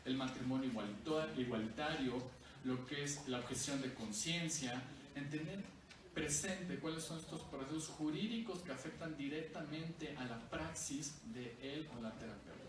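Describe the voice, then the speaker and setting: A man's voice: a little high, normal speed. A man giving a discursive academic talk at a book presentation, often adding tag questions. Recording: echoey distant room recording with noise.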